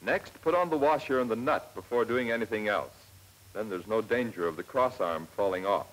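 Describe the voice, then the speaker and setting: An American man narrating a 1940s military instructional film in a plain, measured, step-by-step manner, on an old film soundtrack. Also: fairly low pitch, a bit bright, poor audio. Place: scripted voice-over for film.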